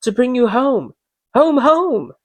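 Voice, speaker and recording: A man's voice: extremely high pitch, big pitch swings, slow pace, dry recording, pitched above the performer's own voice, exaggerated voice. A man voicing an affectionate little girl, eager and brimming with glee, in a storybook reading. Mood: joyful.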